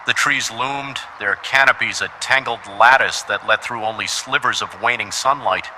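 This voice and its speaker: A male narrator tells a first-person horror story from a script. His voice sits a little low. Noticeable noise runs behind him in the recording. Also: normal speed, bright, forceful tone, low-quality recording.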